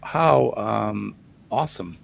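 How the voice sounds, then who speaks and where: An easygoing man co-hosting a casual online chat. His voice is low and gravelly, and he talks with plenty of pauses. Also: expressive, a little hiss or hum. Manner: easygoing, hesitant, many pauses. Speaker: co-host.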